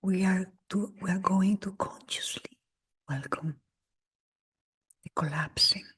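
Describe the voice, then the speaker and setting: A woman leading a guided meditation in a breathy, husky near-whisper, speaking gently in short phrases with long pauses. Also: medium pitch. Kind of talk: slow guided meditation talk.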